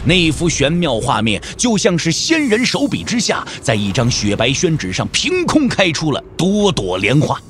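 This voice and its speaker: A man's voice: fairly high pitch, wildly swooping pitch, moderate pace, few pauses, a bit bright. A man narrating a wuxia audio drama as a scripted storyteller, with theatrical, suspenseful delivery. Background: background music.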